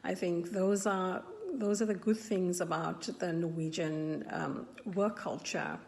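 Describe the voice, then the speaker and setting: A South African woman in her forties, sharing her own story thoughtfully, with frequent pauses and restarts. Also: on the low side, fairly even intonation, creaky, darkish tone, long reverb tail. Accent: South African English. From South Africa, KwaZulu-Natal. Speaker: interviewee. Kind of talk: personal account to camera.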